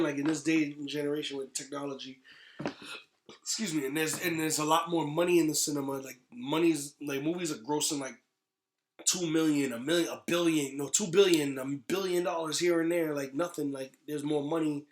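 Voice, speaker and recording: A man's voice: on the high side, fairly even intonation, fairly quick, slight background noise. A man chatting casually as a podcast guest, rambling in an emphatic, slangy way. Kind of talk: casual chat. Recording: echoey room.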